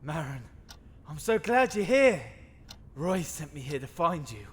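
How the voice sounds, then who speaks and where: A man voicing a wounded, earnest young anime fighter with a husky voice, in a fan-made roleplay. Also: fairly high pitch, big pitch swings, measured pace, in a large hall, exaggerated voice. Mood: urgent, strained.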